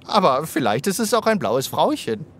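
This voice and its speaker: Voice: mid-range voice, very animated, moderate pace, lightly acted. Actor as talking zebra, playful know-it-all, swooping intonation, theatrical, children's audio drama, faint background noise. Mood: clever, excited. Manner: theatrical, explaining in mock-precise long words.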